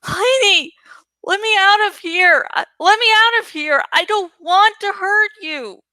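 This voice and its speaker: Woman as a high-voiced, wary teenage girl. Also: unhurried, bright, forceful tone, no room sound, heavily acted. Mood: desperate. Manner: hesitant, stammering, careful. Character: barefoot teenage girl, polite but wary and determined. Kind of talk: audiobook character acting.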